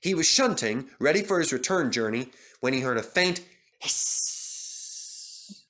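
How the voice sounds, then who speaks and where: A man's voice: mid-range voice, lively intonation, slow pace, bright, forceful tone. A man narrating a children's storybook as a dramatic storyteller.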